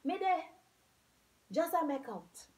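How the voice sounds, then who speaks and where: A woman's voice: high voice, sing-song, takes it slowly, a little mellow, pitched above the performer's own voice, full character voice. Woman doing a sly, fat, secretive villager. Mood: evasive. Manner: sly, evasive, chuckling. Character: fat, secretive, good-humoured village man.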